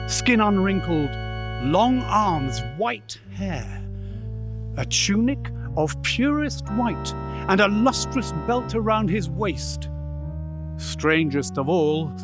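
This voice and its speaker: High-pitched, unhurried male storyteller. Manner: measured, dramatic. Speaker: narrator. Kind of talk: dramatic scripted storytelling for a radio play.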